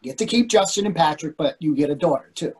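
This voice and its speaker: A man's voice: on the high side, animated, fast talker, a little mellow, breathy edge. An older man playing an anxious, earnest husband and father in a play reading, needling and conversational over a video call. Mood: tender. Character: anxious, fretful middle-aged husband and father. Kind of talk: readers theatre play reading.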